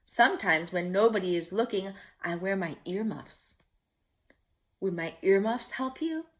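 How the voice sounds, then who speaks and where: A woman's voice: medium pitch, lively intonation, measured pace, natural voice. A woman voicing a big but secretly fearful lion in a storybook, emphatic and confiding as it offers help. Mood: helpful, confiding. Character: big lion secretly afraid of loud noises, helpful.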